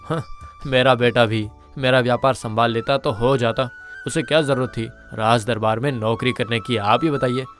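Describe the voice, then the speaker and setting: Guy playing an earnest kind village shopkeeper, middle aged, normal male pitch, soft music under it. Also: steady tone, normal speed, close to an everyday voice. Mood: friendly. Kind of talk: Hindi moral-story cartoon dialogue.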